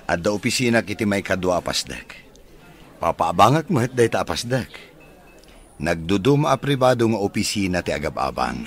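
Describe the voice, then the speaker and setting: An actor with an Ilocano Filipino accent playing an eager, polite, smitten bachelor in a radio comedy drama. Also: male voice, deep voice, sing-song, normal speed. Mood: chatty, courting.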